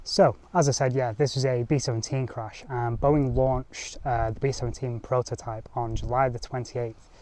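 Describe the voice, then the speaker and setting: A man's voice: medium pitch, steady tone, normal speed, darkish tone. A young man talking casually to camera outdoors on a mountainside, with lots of ums and restarts. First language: English. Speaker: history vlogger. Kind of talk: casual history talk to camera.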